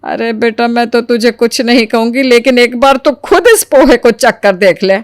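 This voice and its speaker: Woman acting a grumbling, high-voiced mother-in-law. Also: moderate pace, no echo, studio quality, natural voice. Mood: exasperated. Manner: exasperated, muttering asides, sharp retorts. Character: grumbling, wary middle-aged Indian mother-in-law. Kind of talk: animated moral story voice acting.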